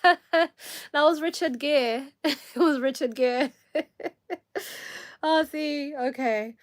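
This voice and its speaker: A woman's voice: sits high, slow pace. Chatty Kenyan woman, rambling and laughing. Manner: rambling, self-correcting, laughs often. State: tired. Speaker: livestream host. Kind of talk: casual livestream chat.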